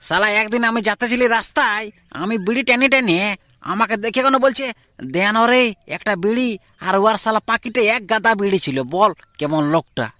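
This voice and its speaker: A man's voice: sits high, fairly quick, sharp tone, no echo, clean studio sound. A man voicing a young, complaining village lad with a rural Purulia Bengali accent in an amateur comic cartoon. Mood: grumbling. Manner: colloquial, complaining. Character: grumbling young village lad, scheming but easily discouraged.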